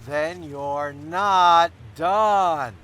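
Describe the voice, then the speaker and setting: An actor playing a curt, weary presidential aide in a comedic audio drama, drily patient and terse. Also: male voice, fairly high pitch, very slow, a bit bright, a little hiss or hum. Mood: stern.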